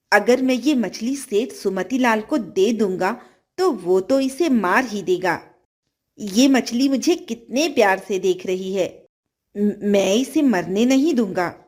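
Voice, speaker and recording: A poor young boy from a fairy tale speaks with humble, earnest politeness , voiced by a woman storyteller. The delivery is slow and melodic, kind-hearted and a little pleading. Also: mid-range voice, animated, voice pushed down. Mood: tender, thoughtful.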